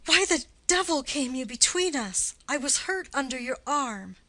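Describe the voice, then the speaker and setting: A woman's voice: sits high, expressive, moderate pace, bright, forceful tone. A woman playing a wounded young swordsman in a classical play, bitterly joking and theatrical. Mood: bitter, cursing. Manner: exclamatory, sardonic, theatrical. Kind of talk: classical stage-play reading.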